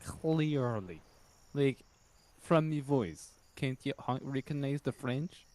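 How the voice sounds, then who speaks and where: Man, mid-pitched, fake accent that comes off Scottish, stumbling mock-indignant roleplay delivery. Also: lively intonation, slow pace, darkish tone. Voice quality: put-on accent that others hear as Scottish. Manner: stammering, mock-offended, insists he is French. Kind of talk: in-character roleplay chat.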